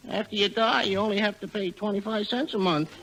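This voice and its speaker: An actor playing a meek, persistent oddball insurance salesman, deadpan, in 1940s radio comedy. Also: high voice, brisk. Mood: earnest, persistent. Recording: noisy old radio recording.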